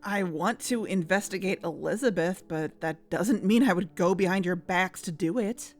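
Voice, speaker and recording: A woman in scripted audio drama dialogue, earnest and worried, sometimes rushing her words. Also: on the low side. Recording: music bed underneath.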